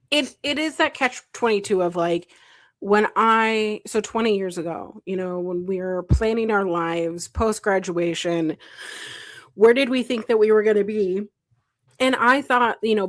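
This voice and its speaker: A woman's voice: mid-range voice, no room sound. A woman in her late thirties talking casually as a podcast co-host. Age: late 30s. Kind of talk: casual chat.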